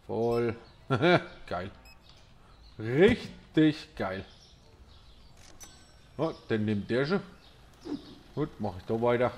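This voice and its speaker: Man, German accent, slow unhurried delivery, mid pitch, short clipped remarks, faint noise behind. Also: expressive, darkish tone. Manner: reads prices aloud in short clipped phrases. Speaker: gameplay commentator. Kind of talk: casual let's-play commentary.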